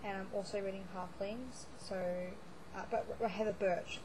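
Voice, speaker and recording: Woman vlogging about books, voice going a bit hoarse, rambly and chatty, hiss in the background. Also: medium pitch, fairly even intonation, moderate pace. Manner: chatty, rambling, lots of fillers and restarts. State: starting to lose her voice. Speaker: book blogger. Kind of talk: casual monthly reading wrap-up vlog.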